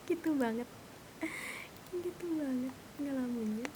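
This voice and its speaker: Woman gamer, casual and colloquial, unhurried delivery, clear noise behind her. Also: high-pitched. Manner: colloquial, chatty. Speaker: streamer playing an online roleplay game. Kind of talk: casual in-game chat.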